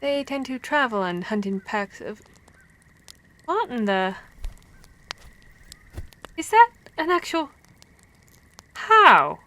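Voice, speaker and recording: A woman playing a female vampire hunter who scolds and cares for her comrade, in an expressive, stammering ASMR roleplay. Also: a little high, slow, long pauses, heavily acted. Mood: teasing, skeptical, then shocked, worried.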